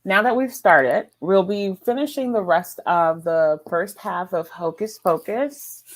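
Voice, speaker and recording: A woman's voice: lowish voice, unhurried. A woman hosting a book readalong livestream in an informal, chatty way, talking to co-hosts and viewers. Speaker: livestream host.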